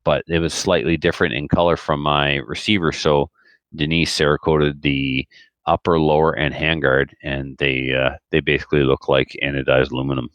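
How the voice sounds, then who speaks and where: A man's voice: very deep voice, level tone, normal speed, a bit bright, no echo. A man hosting a podcast in casual chat, joking and self-deprecating. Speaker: podcast host.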